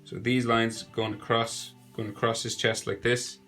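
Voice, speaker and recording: A man's voice: mid-range voice, measured pace. A young man with a cold, sounding nasal, chatting casually as he talks through a drawing step by step. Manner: relaxed, chatty step-by-step instruction. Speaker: drawing tutor. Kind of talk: narrated drawing tutorial. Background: faint music.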